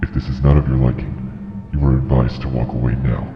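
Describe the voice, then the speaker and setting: A man playing a grave, ominous announcer reading a scripted content warning in a measured way. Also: very deep voice, level tone, music in the background. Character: ominous deep-voiced male announcer giving a warning. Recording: dull, echoey, long reverb.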